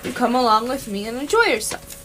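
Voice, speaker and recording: Galactic hitchhiker, radio theatre woman actor: high voice, breezy, nonchalant, unflappably matter-of-fact. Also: expressive, fairly quick, a bit bright. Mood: breezy, patient. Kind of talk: amateur radio theatre dialogue.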